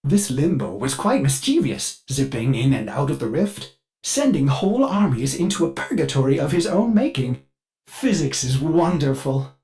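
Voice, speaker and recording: Man as fussy robotic servant AI, video game character, very animated pitch, polite, theatrical, manic edge, music behind. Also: moderate pace, lightly acted. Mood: delighted, fascinated. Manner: polite, theatrical, precise, swinging between courteous and manic. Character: ship artificial intelligence servant, fussy, eager, excitable, with violent outbursts.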